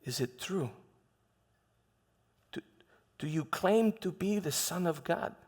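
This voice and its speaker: Man playing a high-voiced troubled Roman governor, echoing hall. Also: animated, breathy edge, heavily acted. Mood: hushed, tense. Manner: halting, repeats words, swings from hushed to shouting. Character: proud, troubled Roman governor recounting a trial, defensive and frustrated. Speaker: preacher performing a dramatized monologue. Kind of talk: live theatrical first-person monologue. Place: large church hall, live performance. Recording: echoey hall with long reverb.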